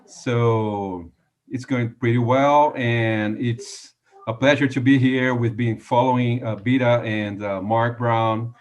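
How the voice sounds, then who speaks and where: A polite man from Brazil in his thirties answers interview questions over a video call, his speech halting and full of small hesitations. His voice sits lower than most men's. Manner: halting, with many "uh" fillers, polite. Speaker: guest. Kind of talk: live online interview.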